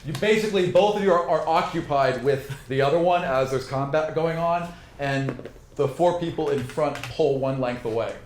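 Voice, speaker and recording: A man running a tabletop game as game master, improvising informal, animated narration with spoken sound effects. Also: on the high side, moderate pace. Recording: roomy echo, faint background noise.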